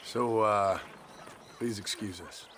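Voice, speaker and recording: A man's voice: fairly low pitch, slow. A man playing a sardonic, threatening frontier gunman with an American accent in a Western video game. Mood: dry contempt. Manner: dry, sardonic, menacing. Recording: noisy game scene background.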